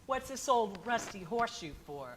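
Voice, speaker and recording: A woman's voice: on the high side, animated, moderate pace, lightly acted. A woman playing a sensible, long-suffering wife in old-time radio comedy style, wry and folksy. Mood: scolding, sarcastic. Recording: faintly noisy live stage recording.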